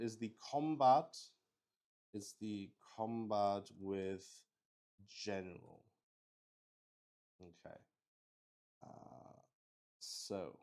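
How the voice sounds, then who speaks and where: A man thinking aloud as he plays and explains a solo roleplaying game on stream, halting and often searching for words. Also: on the low side, a little mellow.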